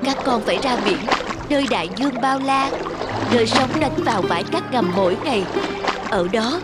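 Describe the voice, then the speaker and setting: A woman voicing a caring, motherly adult female catfish who reluctantly fosters young fish in an animated fairy tale. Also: a little high, moderate pace, loud music behind the voice, close to an everyday voice. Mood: tender, sad.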